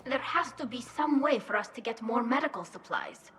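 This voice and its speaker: A woman's voice: a little high, animated, normal speed, fairly bright tone, faint music behind. An actress playing an earnest, desperate alien woman in a video game, pleading urgently. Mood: desperate, frustrated. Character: earnest, desperate young female alien pleading for her people.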